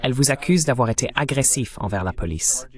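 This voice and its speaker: A man with a mid-pitched voice hosts an interview. He presses his guest with pointed follow-up questions and checks the details carefully. The delivery is a steady voice-over. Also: fairly quick. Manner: probing, clarifying questions. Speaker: interviewer, host.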